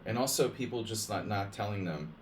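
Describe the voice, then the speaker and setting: A man's voice: lowish voice, lively intonation, fairly quick, slight background noise. A man streaming chess against viewers, chatting casually and rambling, with lots of "like" and restarts between joking asides. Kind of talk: casual live-stream chat over games.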